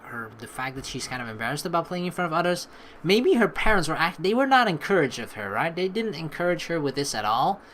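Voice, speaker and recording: Animated low-voiced woman doing game commentary. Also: fast, a little hiss or hum. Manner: animated, informal. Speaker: let's play host. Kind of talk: game commentary mixed with reading dialogue aloud.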